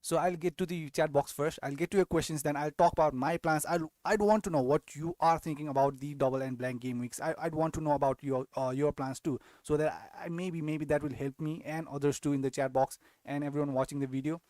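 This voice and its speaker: A man's voice: fairly high pitch, fairly even intonation, brisk, hardly any pauses, darkish tone, no room sound. A young man from Nepal hosting a casual fantasy football live-stream Q&A, often restarting sentences and adding tag questions. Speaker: fantasy football channel host.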